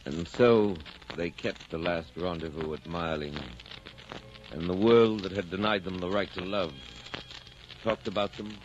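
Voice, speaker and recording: A man narrating a 1940s radio drama in a grave, measured, dramatic manner. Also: sits low, steady tone. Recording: dull old radio recording over music.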